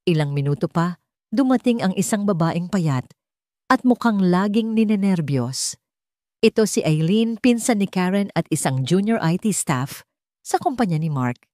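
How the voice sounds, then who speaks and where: Female storyteller, Filipino accent, slightly low register, very dry recording, dramatic scripted narration. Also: expressive, moderate pace, dark tone, no room sound.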